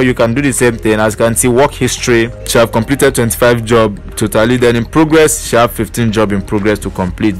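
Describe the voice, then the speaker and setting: A man teaching an online earning method in a casual screen-share walkthrough, leaning on fillers like "basically" and "you know". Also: lowish voice. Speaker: online money-making tutor.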